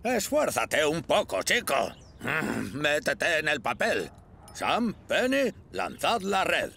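Gravelly, pompous cartoon fire chief. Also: male voice, a little high, huge pitch swings, slow, sharp tone, slight background noise, exaggerated voice. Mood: bossy. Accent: Spanish from Spain. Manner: commanding, pompous. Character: pompous, bossy older male fire chief. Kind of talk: dubbed children's cartoon acting.

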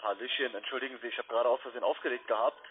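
A man's voice: medium pitch, fairly even intonation, very fast, husky. A man working as a call centre broker, talking informally and insistently on a sales phone call. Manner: insistent, probing.

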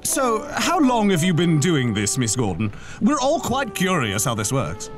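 A man's voice: on the high side, huge pitch swings, measured pace, soft background music. A man playing a polite, awkward husband hosting guests in a period audio drama, somewhat hesitant. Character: flustered, polite husband and host, awkwardly joking.

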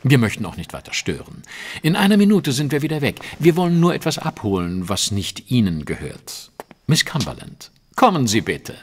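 An actor playing a confident, sardonic Victorian detective in a German audio drama, polite, measured and ironic. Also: male voice, medium pitch, big pitch swings, slight background noise, close to an everyday voice. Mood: dryly polite.

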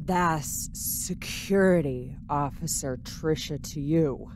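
Actress doing blunt party-girl security officer, low drawly voice, slow, unimpressed, faint noise behind. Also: darkish tone. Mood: dismissive. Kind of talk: audio drama dialogue.

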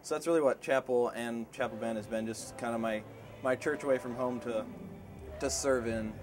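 Young man, casual and quick, noisy room tone. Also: mid-range voice, fairly even intonation. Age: young adult. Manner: fast, casual. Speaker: student. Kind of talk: documentary interview. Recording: noisy background.

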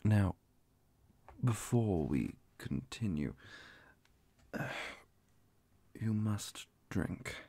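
A man playing a calm, courteous male vampire inquisitor in an ASMR roleplay, speaking softly and formally with whispers and a gravelly, breathy voice. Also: on the low side, exaggerated voice. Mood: formal, solemn. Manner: formal, measured, hushed. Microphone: close mic, dry.

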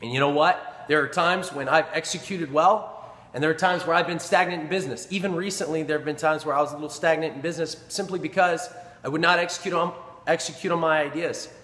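A man's voice: a little high, fast talker, a bit bright. A man in his thirties, a personal trainer, giving an earnest, emphatic motivational talk to a camera in a small indoor gym. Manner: earnest, emphatic, repeats phrases for stress. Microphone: camera in front at close range. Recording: echoey gym with long reverb.

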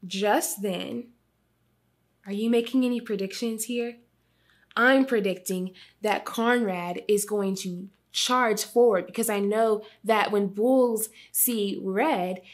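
A young woman hosting a children's read-aloud and lesson, phrasing clearly and expressively for young listeners. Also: medium pitch. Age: young adult. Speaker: storytime host.

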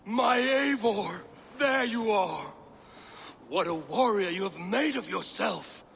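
A gravelly, booming Viking father in a game, high and bright in tone, dragging his words out slowly as he bellows with pride. Faint ambient noise sits under him. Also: lively intonation, exaggerated voice. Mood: proud. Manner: slow, shouted, exultant. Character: boisterous proud Viking father, older man. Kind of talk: video game cutscene acting.

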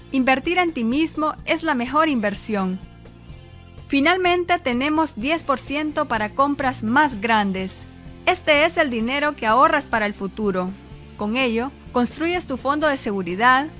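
A woman's voice: high voice, fairly bright tone. A woman reading scripted instructional narration for an explainer video. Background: background music.

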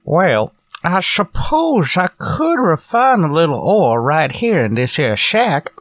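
An old frontier prospector for a western radio play. He is folksy and exclamatory, with pitch that swings wildly. The sound is close and dry. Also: very animated, slow, fairly bright tone, no room sound, heavily acted. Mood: surprised, agreeable. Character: folksy old male prospector and former ranger.

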